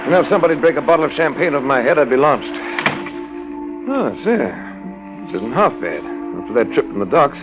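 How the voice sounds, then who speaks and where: A man playing a jaunty, exclamatory young British gentleman adventurer in a 1940s radio serial. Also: mid-range voice, very animated, brisk, long pauses, lightly acted, rough recording. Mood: breezy, curious. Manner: chipper, exclamatory. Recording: old radio recording with music behind.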